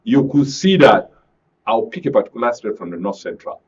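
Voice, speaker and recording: Nigerian man, mid-pitched, deliberate panel commentator. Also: sing-song, husky. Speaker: public affairs commentator, panel guest. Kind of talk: live panel discussion, political commentary. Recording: dull lo-fi broadcast audio.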